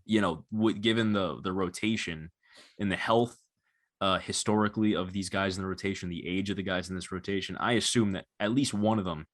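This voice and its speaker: Low-voiced young male podcast host. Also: steady tone, a little fast. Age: 20s. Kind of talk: casual analytical sports chat.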